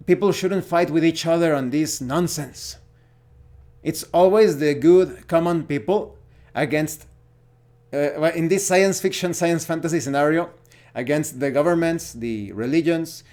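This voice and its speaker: Halting man, slightly high voice. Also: darkish tone. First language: Spanish. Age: 40s. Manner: halting, searching for words. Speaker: host of a tabletop gaming channel. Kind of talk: unscripted opinion monologue to viewers.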